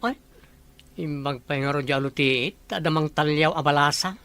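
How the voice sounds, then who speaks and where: A performer acting a teasing, nosy office co-worker with a creaky voice in an Ilocano audio drama. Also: medium pitch, moderate pace, a bit bright, natural voice.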